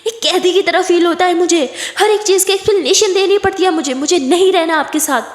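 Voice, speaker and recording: Woman acting a high, defiant, fed-up young woman. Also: fairly quick. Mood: angry, exasperated. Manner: raised, insistent, confrontational delivery. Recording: echoey room with long reverb.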